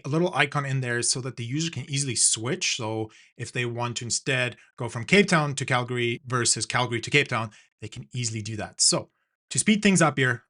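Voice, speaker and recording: Bright-voiced South African man teaching design. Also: mid-range voice. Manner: relaxed step-by-step narration with fillers. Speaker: design tutorial host. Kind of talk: screen-recorded software tutorial.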